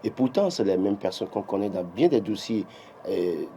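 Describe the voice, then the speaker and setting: A man's voice: fairly low pitch, animated, normal speed, crowd noise. A man with a Beninese French accent giving political commentary on a morning TV show, emphatic and full of restarts. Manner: emphatic, many restarts, rising when insisting. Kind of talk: morning TV press review.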